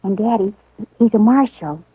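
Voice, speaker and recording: A woman playing an earnest, shy teenage girl on a frontier homestead in a 1950s radio western, speaking in a soft country drawl. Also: medium pitch, expressive, moderate pace, breathy edge, no echo. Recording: narrow-band vintage radio broadcast.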